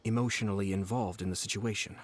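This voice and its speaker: An actor playing a courteous, shrewd nobleman in a video game, speaking in a measured, formal and persuasive way. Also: fairly low pitch, fairly even intonation, close to an everyday voice. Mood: gently pressing. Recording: game music behind.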